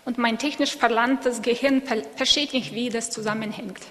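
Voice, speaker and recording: A woman with a fairly high voice interprets a sermon live, one phrase at a time. Her delivery is a little halting, with small self-corrections, and the church hall adds long reverb. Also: fairly even intonation, a bit bright.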